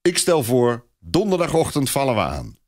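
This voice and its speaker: A man's voice: medium pitch, huge pitch swings, moderate pace, a little mellow, voice pushed up. A man voicing a haughty, self-satisfied French viscount in a story, with a proclaiming manner. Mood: haughty, decisive.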